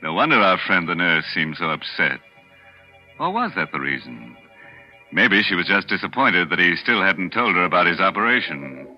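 An actor playing a ghoulish, punning horror show host, sardonic and tongue-in-cheek, in 1940s radio style. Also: male voice, low-pitched, big pitch swings, moderate pace. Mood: mock sinister. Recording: muffled old radio recording with music.

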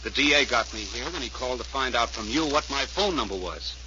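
An American actor playing a pushy, slangy, brusque talent manager in a 1940s radio crime drama. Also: male voice, mid-range voice, expressive, brisk, close to an everyday voice. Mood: sardonic, irritated. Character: pushy, fast-selling, slangy talent manager, tough and protective. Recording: muffled 1940s radio recording, faint hiss.

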